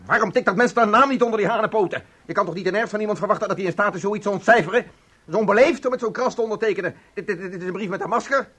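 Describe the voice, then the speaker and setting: Radio play: aggrieved broadcaster and estranged husband, husky, emphatic, obsessive. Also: male voice, high voice, racing, a bit bright. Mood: outraged. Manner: insistent, indignant, emphatic. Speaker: actor. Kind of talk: radio play acting in Dutch.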